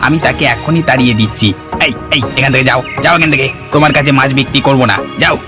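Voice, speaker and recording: A man's voice: medium pitch, fast, over music, close to an everyday voice. A man voicing an eager, ingratiating village fish seller in a cartoon. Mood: flustered. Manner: ingratiating sales patter. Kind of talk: cartoon village drama acting.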